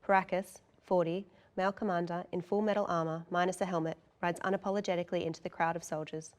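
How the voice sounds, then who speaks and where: Low-voiced woman reading screenplay directions. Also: steady tone, quick pace. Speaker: narrator of a table read. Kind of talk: scripted narration of screenplay directions.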